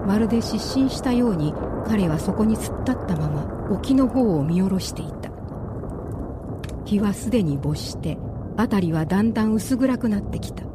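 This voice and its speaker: A woman's voice: on the high side, noisy background. A woman narrating a radio drama as a scripted, dramatic story.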